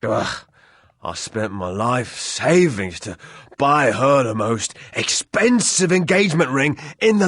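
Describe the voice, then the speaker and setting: An actor playing a drunken, heartbroken townsman in a video game, husky and self-pitying, rambling with slurred hesitations. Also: mid-range voice, fairly bright tone, dry recording, studio quality, full character voice. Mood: woeful. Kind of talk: video game character acting.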